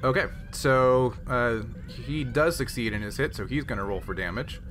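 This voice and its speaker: A man with a medium-pitched voice acts as game master for a tabletop roleplaying game. He explains rules and tallies in a halting, think-aloud way. There is faint noise behind him. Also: a bit bright. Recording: noisy background.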